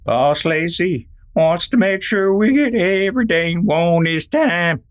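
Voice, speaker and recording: A man's voice: high-pitched, animated, takes it slowly. A man playing a ruthless outlaw leader giving bossy orders in a folksy cowboy drawl in a Western radio drama. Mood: cold, bossy.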